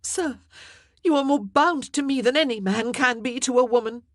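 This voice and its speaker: A woman's voice: on the high side, expressive, measured pace, husky, no echo, clean studio sound, voice pushed up. A woman voicing a proud, anxious young queen who pleads and argues back sharply. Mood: defiant. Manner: pleading, then defiant and sharp.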